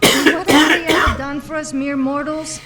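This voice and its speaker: Woman acting a brash, high-voiced boozy young follower. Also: level tone, normal speed, heavy background noise, lightly acted. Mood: sarcastic griping. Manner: petulant, sarcastic complaining. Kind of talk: video game voice acting.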